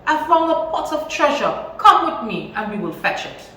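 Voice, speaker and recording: A woman reads as a crafty old village husband from a storybook, Trinidadian in accent. The delivery is sly and theatrical, with swooping pitch, in a very echoey room. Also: very animated, moderate pace, pushed and bright, full character voice. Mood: excited. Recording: echoey room, distant mic, faint noise.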